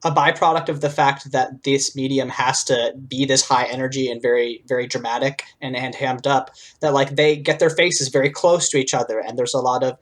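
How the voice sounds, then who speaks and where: A man's voice: medium pitch, fairly even intonation, brisk, hardly any pauses. A man co-hosting a podcast, giving his take in casual, earnest and rambling chat. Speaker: podcast co-host. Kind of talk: casual chat, fan review.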